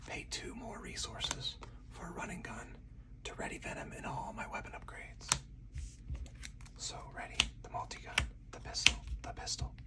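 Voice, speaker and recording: A man speaking in a hushed ASMR whisper, thinking aloud as he talks through each move of a solo card game. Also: medium pitch, far from the mic.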